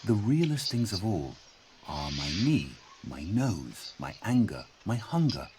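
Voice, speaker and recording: Low-voiced man, expressive essay reading. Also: measured pace, slight background noise. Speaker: audio log narrator in a video game.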